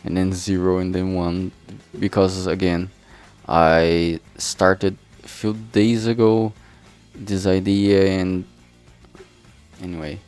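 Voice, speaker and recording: A man's voice: low voice, little pitch movement, slow, a little mellow, clean tone, faint music behind. A man chatting casually on a work stream, thinking aloud hesitantly and often trailing off. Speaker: streamer making a visual novel.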